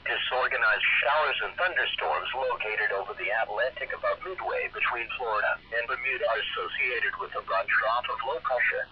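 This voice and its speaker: A man's voice: mid-range voice, highs cut off. Synthetic man on noisy weather radio. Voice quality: synthetic text-to-speech voice. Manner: measured, stiff, impersonal delivery. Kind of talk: scripted weather forecast narration.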